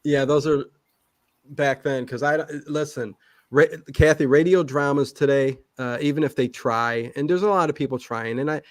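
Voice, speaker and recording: A man's voice: medium pitch, normal speed, no echo. A man with a mid-range voice speaks in a casual, rambling way as an interview guest. He often restarts his sentences as he thinks aloud. The sound is dry, with no room on it. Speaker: guest, online old-time radio channel creator. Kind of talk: casual livestream interview chat.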